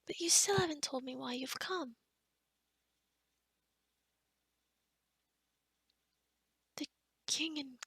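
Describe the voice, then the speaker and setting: A woman playing a kind, sheltered young forest witch in a soft-spoken, half-whispered ASMR roleplay, gentle and hesitant with small stammers. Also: high voice, no echo. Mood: nervous, hesitant. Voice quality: breathy, whispery.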